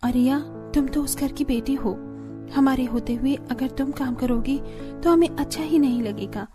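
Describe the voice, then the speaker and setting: A woman's voice: high-pitched, normal speed, over music, natural voice. A woman playing a hardworking, earnest young daughter-in-law in a village cartoon story. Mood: dutiful. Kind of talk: Hindi moral-story cartoon dialogue.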